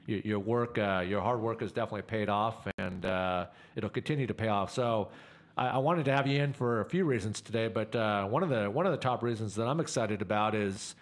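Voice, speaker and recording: A man's voice: on the low side, fairly quick. A man hosting a casual radio interview. He keeps repeating his words and filling the gaps with "uh". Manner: hesitant, repeats words, many fillers. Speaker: radio show host.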